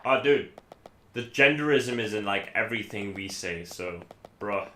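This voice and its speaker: A man from Iceland with an Icelandic accent, a native Icelandic speaker, chatting casually as a game streamer in a halting, rambling, self-correcting way. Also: lowish voice, animated, sharp tone. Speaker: live streamer playing games. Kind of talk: casual chat while gaming. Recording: echoey room, faint background noise.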